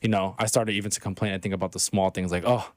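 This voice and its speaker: Fast-talking podcast host. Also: male voice, low voice, steady tone, no room sound. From America. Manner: eager, rapid, filler-heavy questioning. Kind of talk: casual podcast interview.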